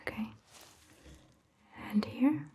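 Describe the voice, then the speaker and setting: Woman playing a slow, whispering vampire doctor. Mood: gentle, reassuring. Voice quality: breathy, hushed, whispery. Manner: gentle, slow, reassuring, softly questioning. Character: caring, attentive female vampire doctor tending a patient. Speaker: ASMR performer. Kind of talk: soft-spoken ASMR roleplay, mostly whispered.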